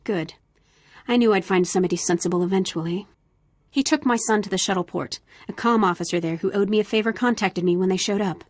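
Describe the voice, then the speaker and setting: Woman as husky, determined proud mother. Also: mid-range voice, a little fast, no room sound, clean studio sound, natural voice. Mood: urgent, scornful. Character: proud, determined mother with scorn for her husband. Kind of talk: video game voice acting.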